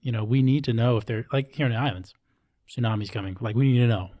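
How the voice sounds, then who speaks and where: A man's voice: medium pitch, fast, warm tone, no echo, studio quality. A man hosting an interview show in a casual, conversational way. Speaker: show host.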